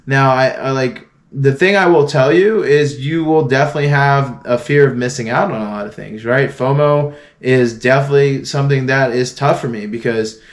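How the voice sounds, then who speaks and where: A man's voice: medium pitch, moderate pace, few pauses. A young man from Florida talking earnestly to camera in a casual motivational vlog, with frequent restarts and fillers. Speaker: vlogger.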